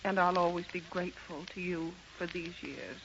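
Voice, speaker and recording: A woman's voice: fairly low pitch, steady tone, normal speed, close to an everyday voice. An actress playing an earnest, resolute young showgirl of the 1890s in 1930s radio drama style. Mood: earnest, firm. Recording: dull, hissing 1930s radio recording.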